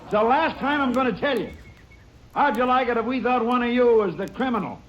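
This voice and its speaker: An actor playing a gruff small-town male sheriff with a folksy drawl and dry humor, in an old radio drama recording. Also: very high-pitched, brisk, muffled recording. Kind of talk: 1950s radio drama acting.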